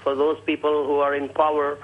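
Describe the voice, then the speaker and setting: Man, caller, slightly high pitch, husky, halting, muffled phone line. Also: monotone. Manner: halting, hesitant.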